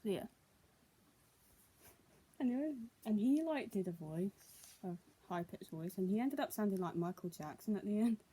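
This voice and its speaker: A woman's voice: medium pitch, animated, normal speed, long pauses, mellow, a little hiss or hum, low-quality recording. A young adult woman, speaking as a vlogger in a casual, homemade style. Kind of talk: casual vlog, reading news aloud and chatting.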